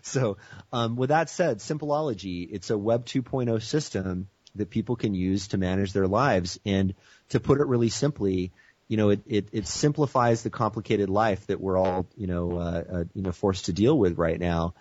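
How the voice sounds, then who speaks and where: An American man as a guest on a teleconference interview, speaking with enthusiastic sales-pitch energy and frequent "you know". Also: normal speed, a little mellow, no room sound, dull audio.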